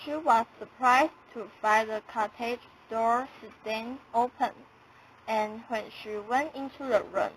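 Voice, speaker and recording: A young woman gives scripted narration of a fairy tale. Her pitch is on the high side. Some faint noise is audible behind her. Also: a little slow, fairly bright tone, poor audio.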